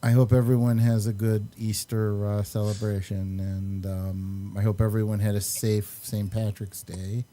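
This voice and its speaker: A man hosting a podcast in a casual, chatty way, with halting and hesitant speech. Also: lowish voice, flat delivery, mellow, soft background music. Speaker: podcast host. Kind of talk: casual chat.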